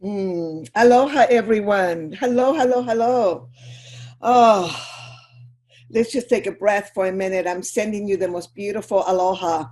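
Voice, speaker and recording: A woman's voice: medium pitch, unhurried, a little mellow. An older woman from Chile, a guest speaker giving a heartfelt, reflective talk on a video call.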